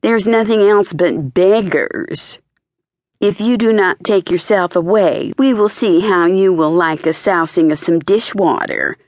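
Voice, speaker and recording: A woman's voice: fairly low pitch, measured pace, darkish tone, no room sound, studio quality, pitched above the performer's own voice, close to an everyday voice. Woman acting cross female cook, storybook character, scolding, bossy, ill-natured. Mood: angry scolding. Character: ill-tempered, bullying female kitchen cook.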